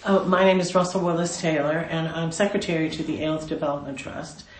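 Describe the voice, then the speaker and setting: A woman's voice: low voice, steady tone, fairly quick, slight background noise. Older woman, community trust secretary, thoughtful and wry, some room echo. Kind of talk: conversational interview. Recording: roomy echo.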